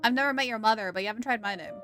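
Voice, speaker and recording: Casting: female game streamer, higher voice, casual playful commentary, giggly, game music behind. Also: very fast, a bit bright. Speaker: game livestreamer. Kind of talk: casual reactive livestream commentary.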